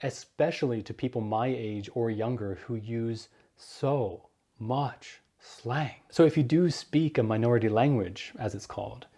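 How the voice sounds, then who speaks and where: A man's voice: medium pitch, measured pace, hardly any pauses, dark tone. A young man in his twenties who grew up in Germany, talking earnestly in a vlog and explaining and giving direct advice. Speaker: vlogger.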